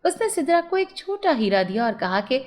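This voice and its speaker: A woman tells a scripted story for children. Her voice is somewhat high, and she works as the narrator of a moral tale. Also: big pitch swings, moderate pace, darkish tone.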